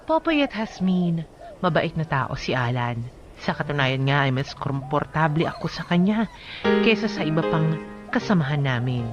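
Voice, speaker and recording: A woman's voice: low voice, very animated, moderate pace. A woman with a Filipino accent narrating a scripted first-person story for a radio drama. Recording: dull lo-fi audio over music.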